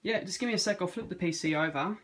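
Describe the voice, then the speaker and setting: A man's voice: high voice, fast talker, heavy background noise. A man in his thirties giving a casual handheld walkthrough of a computer build at home, filled with ums and uhs, recorded on a phone camera. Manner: hesitant, many ums and uhs. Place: home room indoors. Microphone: phone camera held in hand.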